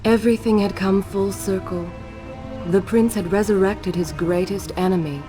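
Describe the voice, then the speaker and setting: An actress playing a solemn, mystical empress who narrates a dark legend in a video game with a portentous delivery. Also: female voice, mid-range voice, fairly even intonation, moderate pace, music in the background, close to an everyday voice. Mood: solemn, foreboding. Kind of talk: scripted story narration.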